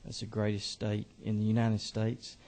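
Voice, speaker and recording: A man, a state representative, giving halting, earnest remarks to a committee on his bill. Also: lowish voice, monotone, a little mellow, slight background noise. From Georgia. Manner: earnest, halting with many fillers.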